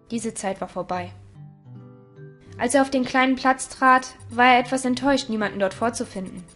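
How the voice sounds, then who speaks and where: A woman narrator reading a scripted audio story. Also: on the high side. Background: music.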